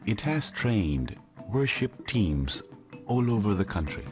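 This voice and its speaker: A man giving measured, deliberate scripted documentary narration. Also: fairly low pitch, very animated. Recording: narration over clear background music. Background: instrumental music.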